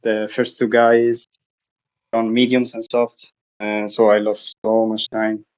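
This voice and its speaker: A man's voice: on the low side, muffled recording. A man being interviewed after a race, speaking bluntly. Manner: blunt, flat and unhurried.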